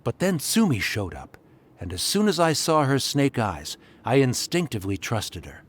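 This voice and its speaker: A man's voice: mid-range voice, big pitch swings, normal speed, husky. An actor playing an earnest, trusting young soldier in a video game, telling his account sincerely. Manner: sincere, storytelling. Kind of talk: video game character dialogue.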